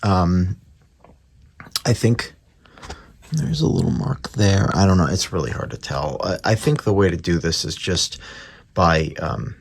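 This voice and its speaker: A man's voice: deep voice, very animated, a little slow, creaky, long pauses, breathy edge. A man narrating a hands-on repair in a casual, unscripted way, thinking aloud with some hesitation. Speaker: radio repair hobbyist presenting his work.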